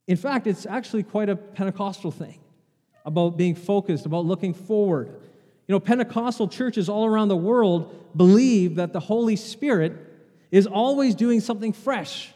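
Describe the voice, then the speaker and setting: A man's voice: high voice, moderate pace, warm tone. A man preaching a sermon as pastor, chatting with the congregation and throwing out rhetorical questions. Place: church sanctuary. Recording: long hall reverb in a church.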